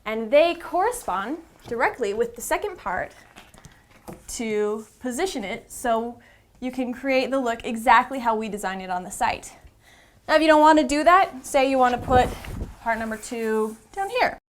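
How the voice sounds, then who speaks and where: A woman with a somewhat high voice gives a how-to demonstration. She explains each step informally, like an instructor talking a learner through a task. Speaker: instructor presenting a how-to series.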